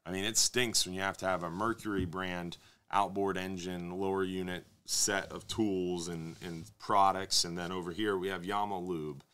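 A man in his thirties hosting a live fishing Q&A stream from a studio desk, reading viewer questions and answering them in a relaxed, conversational way. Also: deep voice, normal speed, clean tone. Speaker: fishing show host. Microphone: desk microphone on stand.

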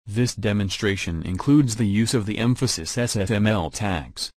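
A man's voice: lowish voice, lively intonation, moderate pace, no room sound, clean studio sound. A synthetic man's voice with an American accent, reading a scripted demonstration. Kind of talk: scripted text-to-speech demo reading.

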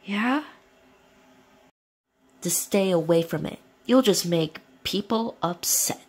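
A woman's voice: fairly low pitch, animated, slow, a little mellow, husky, heavily acted. A woman voicing a smug, mean cat-like trickster. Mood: spiteful.

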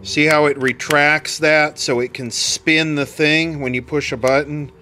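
A man's voice: fairly high pitch, fairly even intonation, a little slow, sharp tone. Man casually narrating repairs, noisy background. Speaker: radio repair hobbyist, video host.

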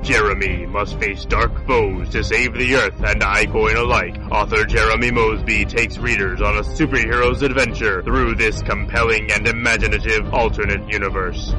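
A man's voice: lowish voice, rough recording. A man reading scripted promotional narration as an advert announcer. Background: music.

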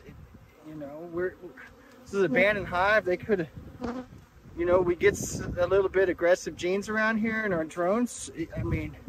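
A man, talking his way through a hive inspection in a relaxed, halting way. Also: sits high. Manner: relaxed, halting, many restarts and fillers. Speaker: beekeeper. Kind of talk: casual hive inspection vlog. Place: outdoor bee yard, open field. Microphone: camera mic on the beekeeper. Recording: outdoor recording with loud bee buzzing. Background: buzzing bees and wind.

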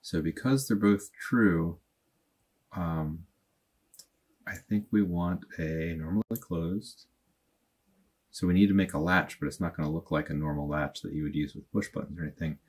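A man in his thirties speaks in a low, slightly husky voice as he talks through a computer tutorial. He thinks aloud in plain, unhurried speech, with the odd filler. He is recorded at a desk in a small room. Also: darkish tone. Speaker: automation tutorial host. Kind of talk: casual screen-recorded tutorial.

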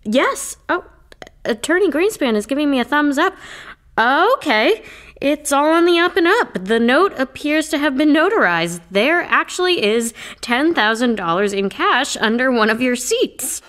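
An actress playing a flustered, polite reverend trying to keep order at a funeral in an audio play. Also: female voice, high voice, sing-song, a little slow, a bit bright, exaggerated voice. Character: nervous female reverend leading a chaotic funeral. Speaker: Reverend Sandy, officiant at the funeral.

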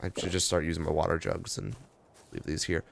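A young man with a hoarse, worn-out voice, rambling casually through game patch notes as he plays. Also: sits low, flat delivery, a little fast, background noise. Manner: rambling, offhand, reading patch notes aloud with asides. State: hoarse, tired voice. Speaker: gaming video host. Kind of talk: casual gameplay commentary.

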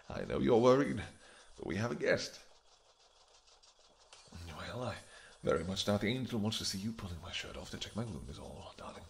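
Man voicing a vampire king, deep-ish gravelly tone, playful and affectionate, soft murmuring delivery. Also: very animated, moderate pace, long pauses, slight background noise. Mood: flirty teasing. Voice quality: gravelly, low. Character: royal vampire king, adult male, flirtatious. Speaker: audio roleplay performer. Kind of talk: audio roleplay acting.

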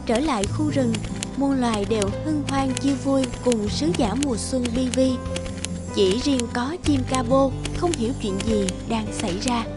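A woman's voice: high voice, unhurried, over loud music. A woman reading scripted fairy-tale narration for a cartoon.

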